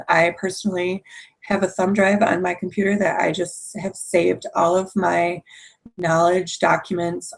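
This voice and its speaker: A woman marketing coach giving a screen-shared online lesson, hoarse from a cold, explaining step by step with frequent fillers. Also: on the low side, low-quality recording. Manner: explanatory, many fillers and restarts. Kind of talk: webinar presentation.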